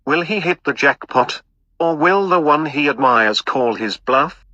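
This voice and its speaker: A man's voice: a little high, animated, a little slow, pushed and bright, lo-fi sound, heavily acted. A man playing a grandiose, melodramatic narrator, reading scripted audio play narration in a pompous, florid manner. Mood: mock-grand. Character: grandiose melodramatic male narrator of a milkman's love life. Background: music.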